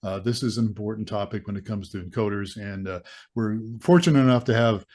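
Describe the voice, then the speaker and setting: A man's voice: fairly low pitch, fairly quick, a little mellow. Upbeat man hosting a webinar. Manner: stumbling restarts, upbeat filler like "all right", "there you go". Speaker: webinar host.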